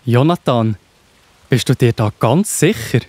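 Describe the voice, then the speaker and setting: A man's voice: medium pitch, animated, measured pace, a little mellow, dry recording. A man with a Swiss German accent playing a devout, faithful young friend in a children's Bible audio drama, speaking with heartfelt earnestness. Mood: earnest, grateful.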